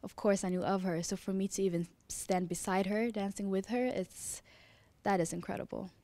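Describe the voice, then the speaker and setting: A young woman from Norway, a dancer whose first language is Norwegian, gushing excitedly in an interview into a handheld mic in an indoor lounge. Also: medium pitch, moderate pace. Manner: excited, gushing, laughing often. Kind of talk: interview answers. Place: indoor lounge at a rehearsal studio. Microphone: handheld mic held by interviewer.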